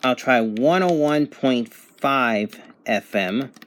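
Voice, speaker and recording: Guy doing a casual radio reception review, plain matter-of-fact delivery, mid pitched voice. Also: expressive, slow, a little hiss or hum. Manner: matter-of-fact, reading out call signs and distances. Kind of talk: casual product demonstration.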